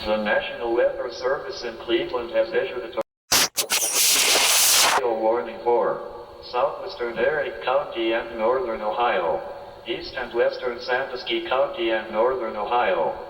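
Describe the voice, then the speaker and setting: A man's voice: mid-range voice, normal speed. Echoing, noisy man reading weather warnings. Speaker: weather alert announcer. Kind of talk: emergency weather broadcast announcement. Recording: muffled, noisy, echoing far-off radio alert.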